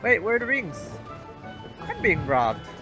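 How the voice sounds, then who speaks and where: Male game streamer, casual and jokey reactive commentary. Slightly high voice, clear game music behind. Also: very animated, measured pace, dull audio. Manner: joking, reacting aloud. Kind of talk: casual livestream gameplay commentary. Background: game music and sounds.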